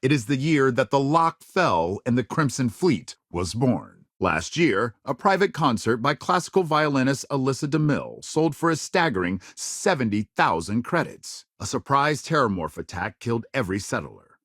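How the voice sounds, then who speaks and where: A polished male news anchor from a space-faring game world. His pitch swings widely as he reads grave bulletins with measured, dramatic emphasis. The sound is dry, with no room around it. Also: big pitch swings, fairly bright tone, dry recording. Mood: grave, authoritative. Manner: measured, emphatic newsreader delivery. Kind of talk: scripted news broadcast narration.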